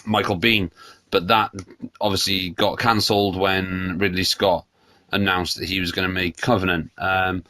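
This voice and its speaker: A man's voice: low voice, little pitch movement, moderate pace, sharp tone, no room sound. A man hosting a solo podcast, congested from a cold, rambling and opinionated. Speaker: podcast host. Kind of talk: solo casual podcast chat.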